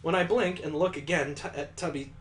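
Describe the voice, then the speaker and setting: A man hosting a gaming video, reading visual novel text aloud in a playful, expressive way and adding joking asides of his own. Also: on the high side, brisk, soft background music.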